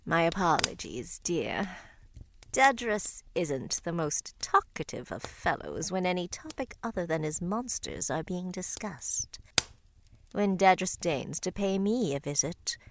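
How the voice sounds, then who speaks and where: A woman playing a dry-witted, grieving older witch in a visual novel, speaking in a formal, measured, wry way. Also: on the low side, very animated, noisy background. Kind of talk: scripted visual novel drama.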